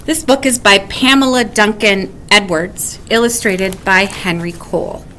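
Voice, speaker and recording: A woman's voice: medium pitch, a little slow, sharp tone, slight background noise, rough recording. A woman reading a children's picture book aloud as a storyteller, in bedtime-story narration. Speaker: storybook reader.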